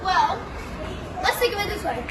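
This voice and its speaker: A ten-year-old girl presents a school project, her voice high and her sentences full of restarts. Loud noise and chatter from a crowded room fill the background. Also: big pitch swings, normal speed. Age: child, about ten. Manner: hesitant, restarts often. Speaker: student presenter. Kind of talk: class presentation.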